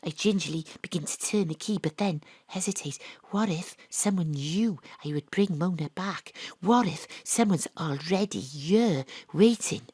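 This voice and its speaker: A woman acting as the narrator and private-eye lead of a radio drama, wry and deadpan in a hard-boiled parody style. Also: fairly low pitch, a little slow, few pauses, husky, no echo. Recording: dry home studio.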